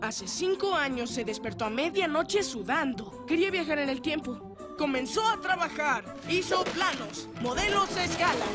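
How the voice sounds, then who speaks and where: A woman playing an earnest, matter-of-fact character in an animated film dub. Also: high-pitched, normal speed, loud music behind the voice. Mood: earnest, explanatory. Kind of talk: animated film dubbing in Spanish.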